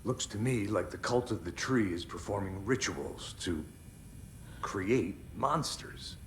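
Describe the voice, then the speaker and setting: An actor playing a wry, blunt investigator in a video game, with a gravelly, matter-of-fact delivery. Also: male voice, fairly low pitch, takes it slowly, slight background noise. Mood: wry, sober. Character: wry, blunt male investigator in supernatural case. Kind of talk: naturalistic video game acting.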